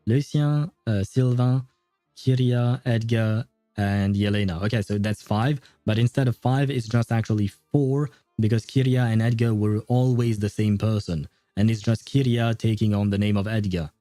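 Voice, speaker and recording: A man's voice: mid-range voice, dark tone. A man livestreaming a game, casually thinking aloud and theorizing in an informal, mildly sweary way. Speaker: livestreamer playing a story game. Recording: roomy echo, faint music behind. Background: faint game music.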